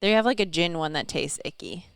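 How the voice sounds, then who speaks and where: A woman's voice: fairly low pitch, a little fast, smooth, dry recording, studio quality. A young adult woman co-hosting a podcast, talking in a candid, chatty way. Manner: candid, chatty, swears casually. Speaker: podcast co-host. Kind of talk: casual chat.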